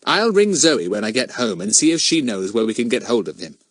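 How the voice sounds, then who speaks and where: A man voicing a confident, cheeky boy detective in a children's storybook, brisk and well-mannered. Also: medium pitch, big pitch swings, dry recording. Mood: brisk, fussing.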